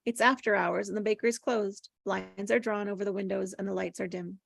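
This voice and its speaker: Creaky-voiced woman reading stage directions. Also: mid-range voice, steady tone, fairly quick, no echo. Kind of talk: scripted narration at a table read.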